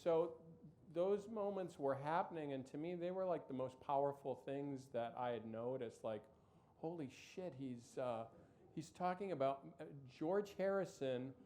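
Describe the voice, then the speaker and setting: A man in his fifties, a show creator, talking in a panel discussion with lots of restarts and animated asides. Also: on the high side, moderate pace, slight background noise.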